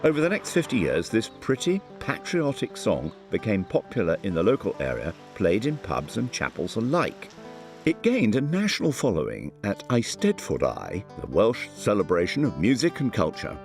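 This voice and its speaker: Lively low-voiced male narrator. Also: moderate pace. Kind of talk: scripted documentary narration. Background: background music.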